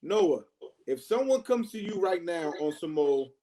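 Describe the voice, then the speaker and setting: A man's voice: fairly high pitch, big pitch swings, a little slow, dark tone. A man in a casual debate who argues firmly and acts out examples to make his point. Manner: firm, acts out quotes.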